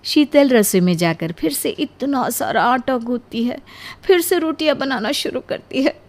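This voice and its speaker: A woman's voice: sits high, lively intonation, normal speed, a little mellow. A woman narrating a scripted story as its storyteller.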